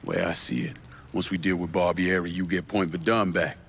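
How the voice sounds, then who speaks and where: A man's voice: low voice, little pitch movement, normal speed, fairly bright tone, slight background noise. An actor playing a terse, determined American man out for revenge in a video game, blunt and businesslike. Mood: cool, determined. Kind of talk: video game cutscene acting.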